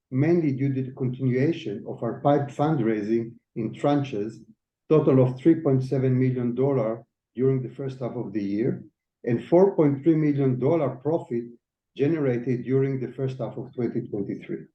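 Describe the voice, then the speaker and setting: Male CFO reading prepared results formally; echoey room, somewhat muffled low-fidelity recording. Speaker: chief financial officer. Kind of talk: scripted financial presentation. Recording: echoey, slightly dull audio.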